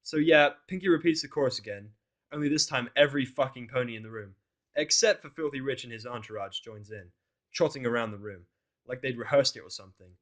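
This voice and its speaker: A man's voice: mid-range voice, fairly quick, a bit bright. A man narrating a first-person fan fiction audio drama in a sardonic, exasperated way.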